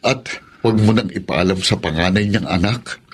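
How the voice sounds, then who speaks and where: An actor playing a practical, advising male friend with a husky voice in a radio drama. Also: lowish voice, normal speed. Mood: encouraging.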